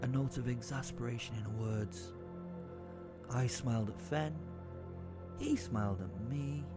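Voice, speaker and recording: A man's voice: mid-range voice, measured pace, loud music behind the voice, natural voice. An actor playing a violent, short-tempered male werewolf, narrating with theatrical, gleeful menace in an audio drama. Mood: smug.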